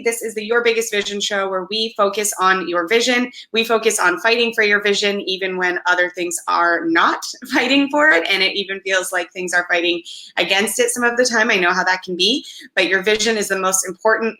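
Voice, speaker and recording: A young woman, slightly hoarse and raspy, hosting a weekly live business show with enthusiastic, encouraging talk. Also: mid-range voice, bright, forceful tone, roomy sound, poor audio. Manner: enthusiastic, frequent laughs, encouraging. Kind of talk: upbeat livestream talk.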